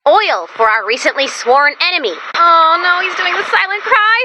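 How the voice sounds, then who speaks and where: A woman voicing a perky, anxious, chatty young female robot helper in a video game, girlish and excitable, rambling theatrically. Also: extremely high pitch, normal speed, lo-fi sound, background noise. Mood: excitable, panicky. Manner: excitable, rambling, theatrical, swinging into hushed asides. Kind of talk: animated video game character voice lines.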